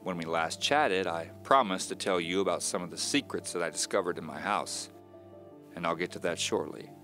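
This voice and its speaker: Wry, brooding amateur tape diarist, low-pitched, measured confessional delivery for mystery radio play, soft music bed. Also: male voice. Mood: wry, observant. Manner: measured, confiding, wry storytelling. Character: divorced handy man confiding his mystery on tape, wry, brooding. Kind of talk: first-person audio drama monologue.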